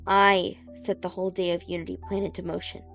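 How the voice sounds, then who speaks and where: A woman's voice: on the low side, little pitch movement, a little fast, vocal fry, muffled recording, faint music behind. A woman playing an earnest, guilt-ridden teenage girl in a cartoon comic dub, speaking in a sincere, dramatic way. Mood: remorseful.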